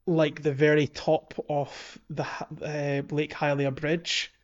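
A man's voice: on the high side, level tone, slow pace, muffled recording. A man giving casual, chatty gaming commentary as a podcast host, telling things informally.

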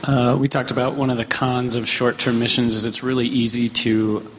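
A man's voice: mid-range voice, flat delivery, normal speed, a bit bright. Casual man in an echoey hall. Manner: colloquial, explains by example. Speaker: discussion participant. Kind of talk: casual explanation to a group. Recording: echoey hall, faint noise.

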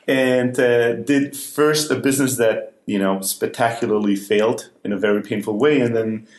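A man's voice: mid-range voice, normal speed, roomy sound. A man from Greece who grew up in Germany, a guest on a podcast, speaking with energy and enthusiasm and poking fun at himself. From Greece, raised in Germany. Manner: energetic, enthusiastic, self-deprecating. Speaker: guest, entrepreneur. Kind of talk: casual interview.

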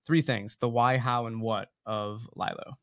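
Male podcast host, mid-range voice, friendly and a little halting, dry recording. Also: mid-range voice, steady tone, fairly bright tone, no room sound. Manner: friendly, hesitant restarts. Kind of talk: podcast interview hosting.

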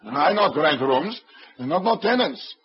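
An actor playing a flustered immigrant janitor who speaks broken English, in 1940s radio acting. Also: male voice, takes it slowly, husky, exaggerated voice. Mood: nervous. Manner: broken English, excitable, high and jumpy. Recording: dull old radio recording.

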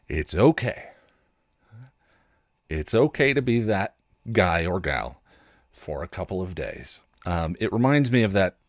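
A man hosting a podcast with a candid personal monologue, reflective and halting, with wry, self-deprecating delivery. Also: sits low, no room sound, clean studio sound. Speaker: podcast host.

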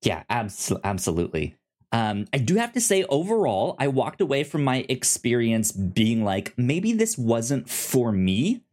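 A man hosting a casual review podcast, informal and halting, stammering over the starts of words. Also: medium pitch, huge pitch swings, darkish tone. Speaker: podcast host.

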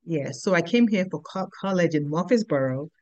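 A middle-aged woman with a Nigerian accent, answering interview questions in an unhurried way with pauses. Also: lowish voice, expressive, a little mellow, no echo, dull audio. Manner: unhurried, simple sentences with pauses and fillers. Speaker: guest, business owner.

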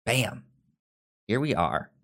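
Male podcast host, slow, stuttering delivery, chatty. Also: fairly low pitch, big pitch swings, vocal fry, very noisy. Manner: slow, halting, with frequent stutters and restarts. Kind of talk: casual podcast chat.

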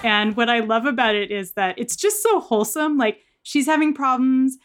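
A woman's voice: on the high side, moderate pace, smooth. An American woman speaking in an earnest, reflective, conversational way as an interview guest, often saying "like" and "you know". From United States. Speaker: author being interviewed.

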